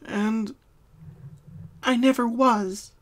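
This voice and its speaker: Woman voicing a sad, soft, high-voiced young pony. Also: steady tone. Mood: solemn, hesitant. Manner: soft, solemn, halting. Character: sad young female pony confessing her hidden identity.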